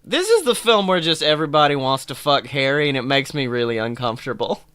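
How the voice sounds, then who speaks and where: A man co-hosting a casual film chat podcast, talking in a jokey, animated way and swearing freely. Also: mid-range voice, fast, clear, resonant tone. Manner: animated, jokey, acts out imagined dialogue. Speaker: podcast co-host. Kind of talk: casual chat about films.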